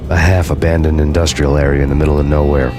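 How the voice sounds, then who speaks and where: A brooding hardboiled detective narrates a noir video game in an extremely low, bottomed-out voice. His delivery is grim, wry deadpan with little melodic movement, over clearly audible music. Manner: slow, grim, poetic deadpan.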